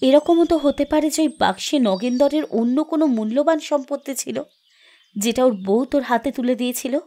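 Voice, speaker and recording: An actress playing a determined young woman investigating a village mystery in a horror audio drama, insistent and expressive. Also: high voice, normal speed. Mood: urging. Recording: music bed behind the voice.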